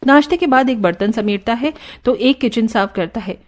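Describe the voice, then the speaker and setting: A woman narrating a cartoon story in an even storytelling manner. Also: on the high side, normal speed. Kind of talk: scripted narration.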